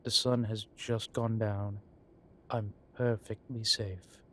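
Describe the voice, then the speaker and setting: Slow, low-voiced gentlemanly old vampire played by a man. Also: little pitch movement, slight background noise. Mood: pleasant, reassuring. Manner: measured, formal, genteel phrasing with deliberate pauses. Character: courteous centuries-old male vampire, secretive and worldly. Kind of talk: scripted audio roleplay addressed to the listener.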